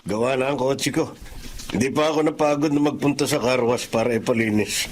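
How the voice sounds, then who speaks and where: A man's voice: medium pitch, brisk, slight background noise, natural voice. A man playing a generous, friendly, well-off employer in a Tagalog radio drama, speaking sympathetically. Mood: pleased, friendly.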